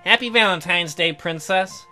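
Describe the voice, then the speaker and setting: A man's voice: high-pitched, normal speed, bright, forceful tone, faint music behind. Man putting on a suave, sweet-talking boyfriend. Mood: affectionate. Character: adoring, suave boyfriend in romance story.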